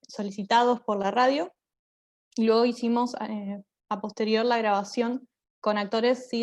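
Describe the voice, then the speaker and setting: A woman's voice: mid-range voice, a little mellow. A woman gives conversational panel answers, reflective and often rephrasing as she explains. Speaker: theatre group member. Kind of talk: conversational panel answers about creative work.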